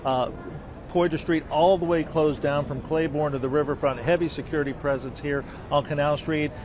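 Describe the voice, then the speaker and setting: Higher-voiced man reporting live from the street. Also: steady tone, normal speed, a crowd in the background. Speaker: TV news reporter. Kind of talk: live field news report. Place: outdoors on a city street. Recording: outdoor street noise, traffic.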